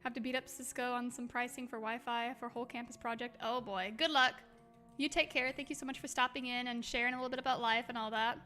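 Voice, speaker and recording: A woman chatting casually on a game livestream, expressive and affectionate, reading messages aloud, with a slightly hoarse voice. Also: fairly high pitch, quick pace. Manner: expressive, exclaims often, gushes affectionately. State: slightly hoarse, by own account. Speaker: livestream host. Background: music.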